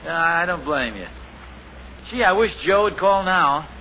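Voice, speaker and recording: A man's voice: a little high, lively intonation, a little slow, lo-fi sound. A middle-aged man playing a genial, easily flustered family father in a radio sitcom, chuckling and amiable. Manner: chuckling, amiable, a little bumbling. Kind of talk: 1950s radio sitcom acting. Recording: scratchy 1950 radio broadcast with hiss.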